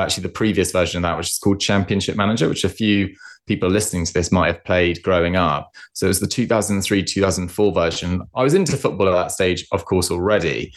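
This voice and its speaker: A man in his late twenties, born in Scotland but with an English accent, chatting casually and self-deprecatingly as a podcast guest over a remote call. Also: sits low. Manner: relaxed, self-deprecating, chatty with many fillers. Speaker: podcast guest, football presenter. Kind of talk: casual interview chat.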